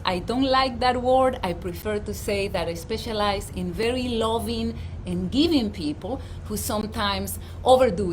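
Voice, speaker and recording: A woman's voice: mid-range voice, slight background noise, rough recording. A middle-aged woman with a Dominican accent, a life coach giving an earnest, emphatic livestream talk outdoors. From Dominican Republic. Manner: warm, earnest, emphatic presenter. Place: outdoors at her home.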